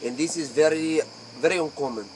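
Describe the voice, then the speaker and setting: A man playing an aloof, ancient aristocratic vampire count in a spoof interview, with an Eastern European accent and halting, pompous broken English. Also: on the high side, a little hiss or hum, lightly acted, poor audio. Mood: haughty. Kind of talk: improvised spoof interview in character.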